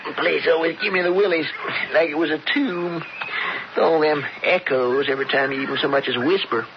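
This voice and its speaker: A man's voice: a little high, brisk, lightly acted. A man playing a folksy Old West deputy and tracker with a rural American accent in a radio drama. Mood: folksy, wary. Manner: folksy drawl, colloquial. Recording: muffled radio broadcast, loud noise.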